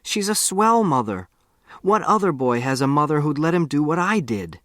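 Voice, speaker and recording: An excitable teenage boy, acted out by a man reading a novel, talks in a raised, urgent voice. He is earnest and quick to protest, and he is recorded dry and close. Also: on the high side, no echo, voice pushed up. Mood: pleading, tearful.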